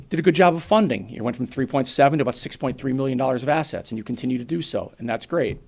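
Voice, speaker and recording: A man in his forties with a New England accent, giving a brisk, plainspoken financial presentation to a town board and explaining with everyday examples. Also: mid-range voice, creaky. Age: 40s. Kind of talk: financial presentation at a public meeting.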